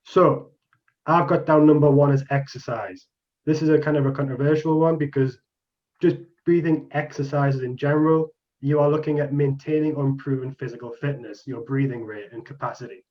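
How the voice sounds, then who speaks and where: A man's voice: mid-range voice, steady tone, normal speed. A young man from Newcastle with a Geordie accent, casually presenting a lecture over a video call with frequent ums. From Newcastle, England. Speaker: exercise researcher presenting. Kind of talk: online presentation. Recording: muffled video call audio.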